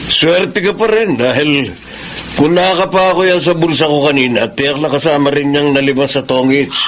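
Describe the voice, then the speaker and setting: An actor plays a defiant, irresponsible Filipino husband in radio melodrama. His voice is a little high for a man. Faint noise runs through the recording. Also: moderate pace, a bit bright, close to an everyday voice, rough recording. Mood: defensive, flippant. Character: feckless, defiant gambling husband and dockworker. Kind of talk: Filipino radio drama acting.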